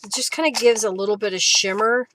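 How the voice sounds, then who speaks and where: A woman's voice: mid-range voice, quick pace, fairly bright tone, a little hiss or hum. A woman hosting a craft livestream, casually talking through each step and thinking aloud as she works. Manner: informal, talks to herself and viewers while working. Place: live stream while working with craft materials.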